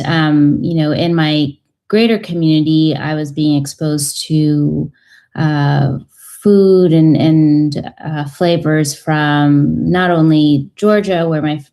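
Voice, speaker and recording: A woman's voice: low voice, dark tone. A woman in her thirties, a cookbook author guest on a radio show, talking in a thoughtful way with many ums and uhs. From United States. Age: 30s. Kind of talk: radio interview.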